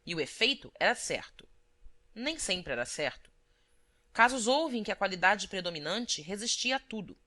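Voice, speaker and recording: A woman reading a literary audiobook as narrator, in a formal, expressive manner. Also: medium pitch, moderate pace.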